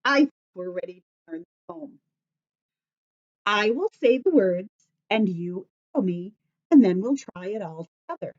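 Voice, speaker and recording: An American woman reading aloud to young children like a teacher, expressive and sing-song, addressing them with questions. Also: medium pitch, a little slow, dull audio. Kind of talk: children's story read-aloud.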